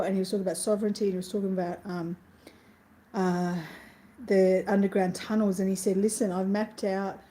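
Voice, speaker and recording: Middle-aged woman, live-stream host; mellow tone, rambling and effusive, sniffly and teary. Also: medium pitch. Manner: gushing, emotional, rambling with many restarts. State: tearful and sniffly. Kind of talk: casual live-stream chat.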